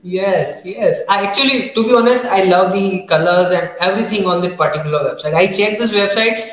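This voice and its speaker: A man's voice: high-pitched, fairly quick, a bit bright. A man hosting an interview in an effusive, appreciative way. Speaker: show host. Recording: roomy, echoing sound.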